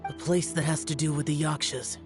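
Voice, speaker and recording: A woman's voice: low-pitched, fairly even intonation, a little fast, over music, natural voice. A woman voicing an ancient, brooding male warrior guardian in a video game, grave and reserved. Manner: grave, reserved, brief weary phrases.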